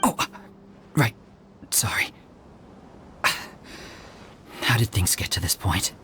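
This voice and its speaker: An actor playing a wary, gossiping townsman in a video game, uneasy and hushed. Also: fairly low pitch, animated, moderate pace, vocal fry, background noise. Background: game background music.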